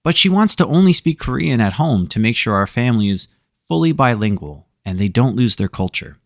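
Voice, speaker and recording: A man's voice: mid-range voice, sing-song, a little fast, dry recording, studio quality. A man hosting a storytelling show, reading online stories aloud with wry, sarcastic asides. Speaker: storyteller host.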